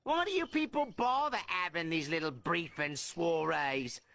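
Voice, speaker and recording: A man's voice: on the high side, lively intonation, unhurried, gravelly, bright, forceful tone, no room sound, clean studio sound, full character voice. A man playing a petulant, sarcastic misfit mutant in a video game, drawling his complaints. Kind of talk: video game cutscene acting.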